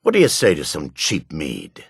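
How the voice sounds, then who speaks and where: Low, raspy dark elf schemer. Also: male voice, huge pitch swings, normal speed, dry recording, studio quality, heavily acted. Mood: sly salesmanship. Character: dark elf man, shifty, greedy meadery boss and merchant. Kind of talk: fantasy video game voice acting.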